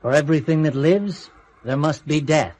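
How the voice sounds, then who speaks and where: An actor as a solemn, fatalistic astronaut. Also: male voice, fairly high pitch, normal speed, darkish tone, natural voice. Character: grave, fatalistic male astronaut, philosophical and resolute. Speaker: radio actor. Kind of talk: radio drama acting.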